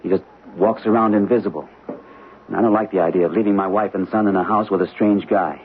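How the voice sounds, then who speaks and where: A deep-voiced steady husband. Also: male voice, brisk. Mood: earnest. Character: protective middle-aged husband and father. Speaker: homeowner. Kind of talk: 1970s radio drama acting. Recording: narrow-band old radio broadcast with hiss.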